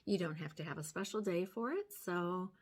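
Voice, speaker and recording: Hoarse older woman explaining makeup gently. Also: fairly low pitch, a little fast. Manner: gentle, step-by-step explaining while applying makeup. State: hoarse from sinus trouble. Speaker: beauty vlogger. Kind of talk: makeup tutorial chat. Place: small room at home, indoors. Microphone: phone camera close up.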